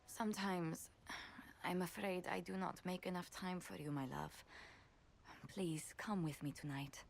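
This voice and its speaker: A woman's voice: lowish voice, fairly even intonation, unhurried, vocal fry, slight background noise. An actress voicing an elegant, courteous lady diplomat in a video game, affectionate and formal in her phrasing. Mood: gracious, tender. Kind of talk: video game cutscene dialogue.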